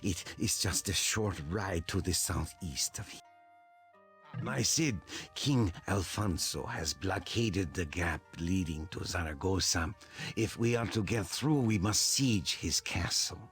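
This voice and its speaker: Deep-voiced, grave medieval envoy. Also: male voice, animated, measured pace, fairly bright tone, faint music behind. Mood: urgent. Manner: formal, earnest. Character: grave, courteous medieval male lord's envoy, pleading for aid. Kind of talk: scripted game dialogue.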